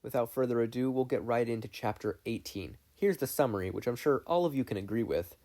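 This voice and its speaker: A man's voice: mid-range voice, fairly quick, a little mellow. A man reading a story aloud in scripted narration. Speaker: podfic reader.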